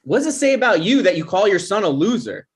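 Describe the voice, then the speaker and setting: Insecure, defensive man in his twenties played by an actor, very animated delivery, comedy table read. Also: high-pitched, fast talker. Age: young adult. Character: insecure, defensive twenty-something boyfriend and son.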